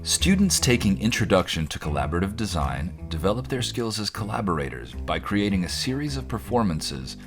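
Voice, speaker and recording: A man's voice: low voice, big pitch swings, a little fast, few pauses, over music. A man delivering scripted narration as the narrator of a program.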